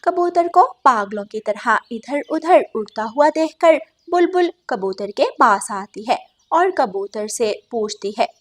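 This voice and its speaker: A woman's voice: a little high, lively intonation, measured pace, few pauses. A woman narrator reading a scripted children's story.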